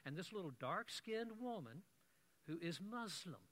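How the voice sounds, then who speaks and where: A man delivers a sermon as a lively story. His voice is bright and a little high for a man. He drops in wry asides to the congregation as he goes. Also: a little slow. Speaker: preacher.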